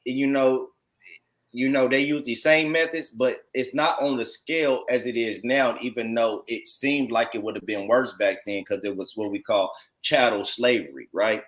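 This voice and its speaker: A man's voice: mid-range voice, steady tone, moderate pace, a bit bright, low-quality recording. An American man giving informal religious teaching as a congregation teacher, emphatic and repetitive, often checking in with rhetorical tag questions. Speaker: congregation teacher commenting on read text.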